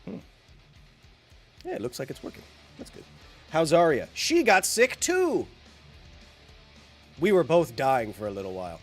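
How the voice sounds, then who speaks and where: A man's voice: a little high, wildly swooping pitch, measured pace, pauses often, soft background music. Sniffly, rambling male game streamer. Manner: rambling, jokey, talks to chat. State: congested, recovering from bronchitis. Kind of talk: live game stream chat.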